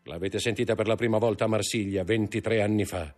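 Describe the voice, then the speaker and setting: A man voicing an implacable avenging count, slow and solemn, his husky low voice lifted a little from the narration. He sounds cold and unhurried, weighing every word. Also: fairly even intonation, faint music behind, lightly acted. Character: calm, implacable avenging count disguised as a priest.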